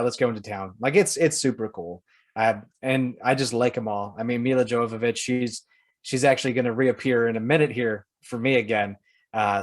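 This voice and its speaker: Man co-hosting a podcast, mid-pitched voice. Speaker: podcast co-host. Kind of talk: casual chat.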